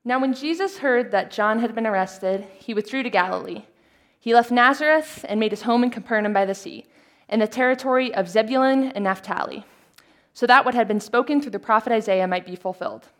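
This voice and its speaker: A woman reading scripture aloud in a calm, measured way. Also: a little high. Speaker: scripture reader.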